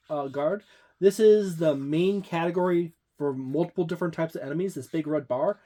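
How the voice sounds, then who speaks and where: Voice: medium pitch, dark tone. A tabletop game reviewer giving a book overview, hesitant, with frequent fillers and restarts.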